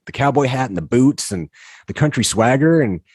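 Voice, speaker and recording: A man's voice: medium pitch, expressive, fairly quick, a little mellow, slightly rough, no room sound. A man hosting a casual interview podcast, talking loosely with lots of restarts and fillers. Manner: rambling, many restarts and fillers. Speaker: podcast host.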